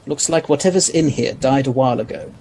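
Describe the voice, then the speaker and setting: A man playing a brisk, authoritative scientist adventurer in an audio drama. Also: mid-range voice, warm tone, slight background noise, close to an everyday voice. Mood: grave, focused.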